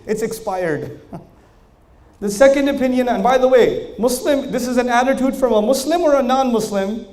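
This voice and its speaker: A man with a fairly high voice gives a religious talk to a crowd, speaking in a storytelling way that rises with emphasis on key points. His voice echoes around a large hall. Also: a little mellow. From Pakistani family, raised in Germany, Saudi Arabia and New York. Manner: conversational storytelling, rising emphasis, rhetorical questions. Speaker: Islamic lecturer. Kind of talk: religious lecture to an audience.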